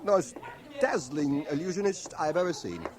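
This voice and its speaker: A middle-aged schoolmaster, proud, stubborn and courtly. He speaks in precise, formal phrases with lively swings of pitch, in the manner of radio drama. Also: normal speed, crowd noise. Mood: earnest admiration. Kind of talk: 1980 radio drama acting. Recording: home cassette transfer of radio drama.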